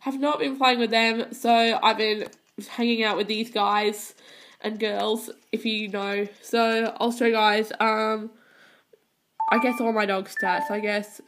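A woman's voice: a little high, little pitch movement, takes it slowly, a bit bright, a little hiss or hum. Tired girl with a stuffy head cold. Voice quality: hesitant, many ums and restarts. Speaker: gaming channel host. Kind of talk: casual gameplay commentary. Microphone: phone filming a handheld console screen.

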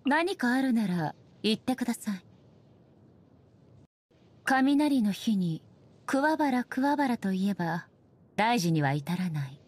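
A dignified shogun goddess for a video game. Her voice is fairly high and breathy, with a soft edge. She speaks formally and with measured solemnity, stern yet sincere. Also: expressive, full character voice. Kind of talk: scripted game character voice lines.

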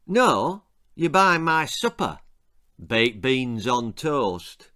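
A man's voice: mid-range voice, sing-song, drawn-out words, fairly bright tone, heavily acted. A man voicing a cryptic, crafty old running coach in a children's storybook, evasive and commanding. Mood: bossy, smug.

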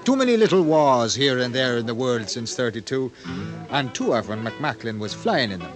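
An actor playing a homesick, world-weary middle-aged American pilot in a 1950s radio drama, folksy and hesitant. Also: male voice, medium pitch, sing-song, normal speed, faint music behind, close to an everyday voice. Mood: resigned.

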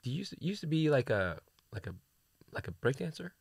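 Guy hosting a podcast, super animated bright voice, playful, dry booth sound. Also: mid-range voice, quick pace, vocal fry, slightly rough, dry recording. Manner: encouraging backchannels, playful. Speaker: podcast host. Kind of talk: casual podcast chat. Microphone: studio mic with headphones.

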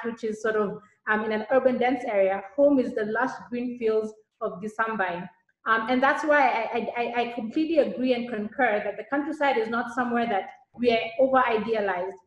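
Kenyan woman presenting in an echoey room. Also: a little high. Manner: earnest, conversational, with frequent restarts. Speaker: architect and lecturer, panelist. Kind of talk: conference panel presentation.

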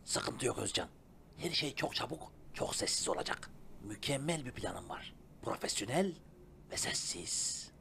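A man voicing a scheming, defensive middle-aged would-be YouTuber in an animated comedy, with a blustering, colloquial manner. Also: medium pitch, measured pace, creaky, slight background noise, exaggerated voice.

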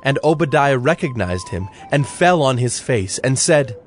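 A man narrating scripture from a script in a measured, solemn way. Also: mid-range voice, expressive. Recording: music under the voice.

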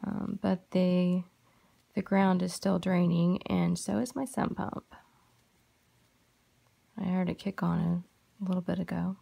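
A woman hosting a crochet tutorial, talking softly and unhurriedly in a gravelly voice, having just woken up. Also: lowish voice, fairly even intonation. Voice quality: gravelly morning voice. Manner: soft-spoken, unhurried with fillers. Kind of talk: casual tutorial chat while crocheting.

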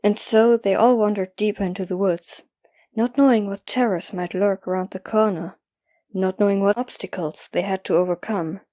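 A woman's voice: mid-range voice, steady tone, moderate pace, dark tone, no room sound. A woman giving a scripted, dramatic narration as the storyteller of an audio drama.

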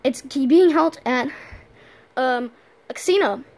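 A woman's voice: high-pitched, a little mellow. A woman voicing a goofy, flustered young male puppy who stammers and rambles in a fan-made cartoon play. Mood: nervous. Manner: stammering, rambling, nervous fast bursts.

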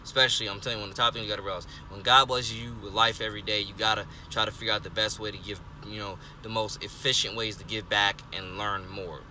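A young man of twenty talks into a phone held close in his car, earnest and repetitive, circling back on himself with fillers. His voice sits a little low but sounds bright and forceful. A faint hum of the street sits behind him. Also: flat delivery, fast, hardly any pauses. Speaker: vlogger. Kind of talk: rambling casual monologue. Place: parked car, indoors of vehicle. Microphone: phone held close, selfie framing.

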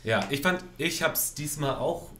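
A man chatting casually and informally in a lively way. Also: on the high side, measured pace, slight background noise. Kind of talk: casual behind-the-scenes chat. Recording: roomy, echoey sound.

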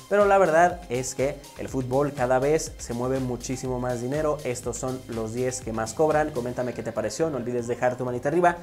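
A man's voice: mid-range voice, darkish tone. A man in his thirties narrating a scripted football countdown as an online video host, with emphatic presenter-like delivery. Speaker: YouTube host. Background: background music.

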